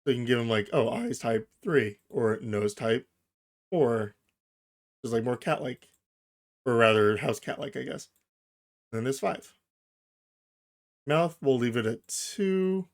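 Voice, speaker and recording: A man narrates a software tutorial in a casual, informal way. He walks through things step by step, thinking aloud as he works. His voice sits at a middle pitch. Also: animated, measured pace, long pauses. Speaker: tutorial host.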